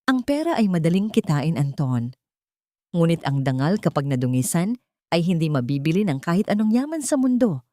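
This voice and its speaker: A dignified old father from an audio drama, voiced by a woman storyteller, stands firm and righteous. The phrases swing widely in pitch within a lowish register. The sound is dry. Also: sing-song, moderate pace, dark tone, no echo. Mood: calm, dignified.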